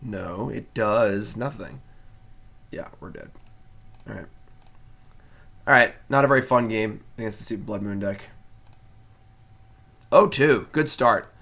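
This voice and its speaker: A man's voice: lowish voice, expressive, slow pace, vocal fry, long pauses, fairly bright tone. A young man streaming a card game and chatting casually, laid-back and wry, with lots of fillers. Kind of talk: casual live-stream commentary.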